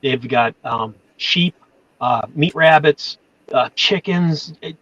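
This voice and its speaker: A man's voice: on the high side, slow, fairly bright tone, no room sound. A man with a Boston accent, chatting loosely and stumbling over his words. The sound is dry and a bit dull, like a cheap mic close in a small room. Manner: rambling, full of fillers and self-corrections. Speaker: channel moderator. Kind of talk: casual chat on a livestream.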